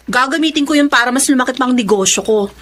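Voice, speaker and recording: Casting: actress as bossy, big-hearted Filipino breadwinner sister, mid-twenties, high voice, emphatic radio-drama delivery. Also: female voice, quick pace, noisy background, lightly acted. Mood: excited, ambitious. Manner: emphatic, scolding or boasting, mixes English into Tagalog. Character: driven 25-year-old businesswoman, bossy, caring breadwinner sister.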